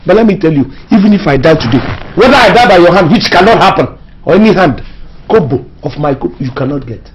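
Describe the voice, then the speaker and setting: A man's voice: on the high side, animated, quick pace, a little hiss or hum, close to an everyday voice. An actor with a Nigerian accent playing a distrustful middle-aged husband and father who scolds and scorns. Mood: contemptuous. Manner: scornful, scolding, repeats himself.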